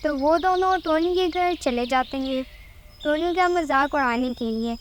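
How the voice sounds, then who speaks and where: Slow, high-voiced woman narrating a story. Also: slight background noise. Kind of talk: scripted children's story narration.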